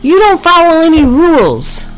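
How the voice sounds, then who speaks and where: A woman's voice: high-pitched, very animated, moderate pace, voice pushed up, low-quality recording. A woman voicing a bossy, exasperated female animal in a children's story, scolding emphatically. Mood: exasperated yelling.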